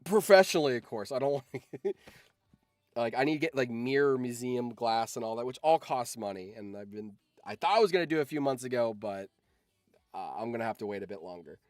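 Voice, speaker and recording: A man with a mid-pitched voice talks casually on a livestream, close to the mic with a dry sound. He rambles through anecdotes like an enthusiastic fan, informal and happy to swear. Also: expressive, a little fast, dry recording. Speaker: streamer and voice actor.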